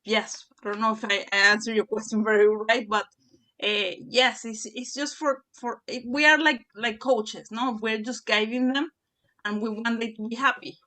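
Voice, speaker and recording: A woman speaking as a parent on a panel, answering hesitantly with many pauses and restarts. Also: a little high, steady tone, sharp tone. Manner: hesitant, full of fillers and restarts. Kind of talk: panel discussion.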